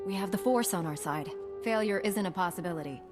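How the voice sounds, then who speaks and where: A woman's voice: mid-range voice, a little fast, natural voice. An actress playing a self-assured heroic woman knight in a video game, speaking firmly and directly. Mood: confident. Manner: firm, composed, direct. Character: resolute, self-assured female Jedi knight hero. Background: game music.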